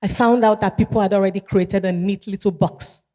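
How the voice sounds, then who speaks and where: A woman in her thirties from Nigeria with a Nigerian accent, giving a motivational keynote speech in a measured way and repeating key phrases for emphasis. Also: mid-range voice, fairly even intonation, dark tone. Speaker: keynote speaker. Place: large event hall. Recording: long hall reverb.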